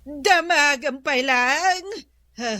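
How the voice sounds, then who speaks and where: A woman's voice: sits high, drawn-out words, pushed and bright, dry recording, heavily acted. A woman playing an impatient, indignant landlady with an Ilocano Filipino accent, scolding shrilly in a radio comedy.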